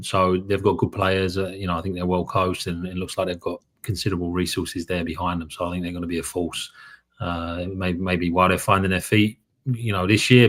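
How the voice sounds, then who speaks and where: A man, a head coach, answering interview questions in a measured, steady way. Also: low voice. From from abroad, later lived in New York. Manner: measured, steady, with frequent "um" and "I think".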